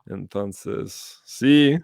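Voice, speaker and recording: A man in his thirties, a school teacher, chatting as a podcast co-host and telling stories at a relaxed, drawn-out pace. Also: fairly low pitch, creaky, no echo, studio quality. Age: 30s. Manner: relaxed, drawn-out storytelling, switching into Spanish. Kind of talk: casual chat.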